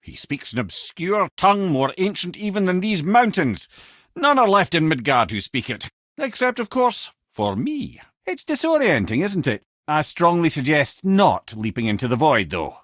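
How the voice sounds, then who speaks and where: A man's voice: fairly high pitch, big pitch swings, moderate pace, fairly bright tone, no echo, clean studio sound. An actor voicing a wise, wry older Scottish man, a talking severed head in a video game, with a theatrical, folksy manner. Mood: playful. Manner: wry, theatrical, folksy, addresses listeners as brother and lad. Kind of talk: expressive video game character acting, storytelling and banter.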